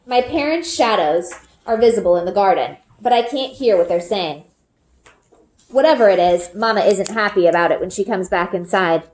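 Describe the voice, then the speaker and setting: A woman reading a children's book aloud as an expressive storyteller, narrating from the text. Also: mid-range voice. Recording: roomy indoor echo.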